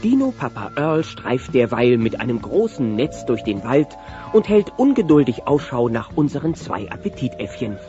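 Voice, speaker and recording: A man's voice: mid-range voice, big pitch swings, moderate pace, mellow. A man narrating a radio play in a scripted storytelling style. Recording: muffled old cassette transfer. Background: music.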